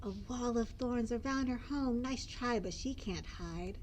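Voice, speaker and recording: Slow, high-voiced woman reading a storybook. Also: a little mellow, slight background noise, poor audio. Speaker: children's librarian reading at story time. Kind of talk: expressive storybook narration for children.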